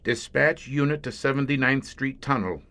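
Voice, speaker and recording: An actor playing a grim, weary older commander of a secret monster-hunting police squad in an audio horror drama, speaking in florid, deliberate phrases. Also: male voice, medium pitch, fairly bright tone, slight background noise, close to an everyday voice. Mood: businesslike, grim. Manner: eloquent, florid, deliberate speech.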